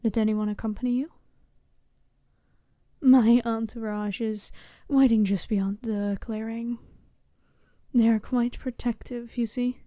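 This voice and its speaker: A smooth, seductive female werewolf bride, acted by a woman in a soft-spoken ASMR roleplay. Her voice sits fairly high yet stays mellow, and she talks in polite, formal, businesslike phrases. The sound is dry and close. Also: fairly even intonation, dry recording. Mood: polite, composed. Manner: polite, measured, formal wording.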